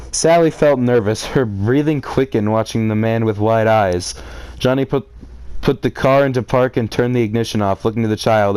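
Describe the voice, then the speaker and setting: A man's voice: on the low side, a little mellow, smooth, no echo, low-quality recording. A man reading a story aloud and reacting to it with theatrical, exclamatory commentary and sarcastic asides. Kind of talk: live read-aloud with outraged commentary.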